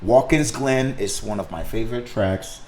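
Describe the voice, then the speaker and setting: A man's voice: lowish voice, normal speed, a little mellow, slight background noise. A man from Africa with a Nigerian accent and Igbo as his first language, talking casually and unscripted to viewers in a hesitant, rambling way. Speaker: sim racing video creator and driver.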